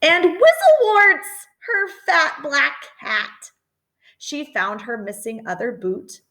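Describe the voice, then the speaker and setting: A middle-aged woman reading a children's picture book expressively, with dramatic emphasis and chatty asides. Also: sits high. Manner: animated, deliberate, dramatic emphasis. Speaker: storytime reader.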